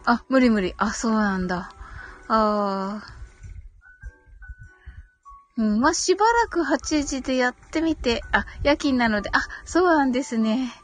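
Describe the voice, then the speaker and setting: A woman with a fairly high voice hosts a casual live stream. She reads out listener comments and answers politely, agreeing often with small murmured responses. Music plays behind her as she talks. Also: big pitch swings, takes it slowly, long pauses. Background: background music.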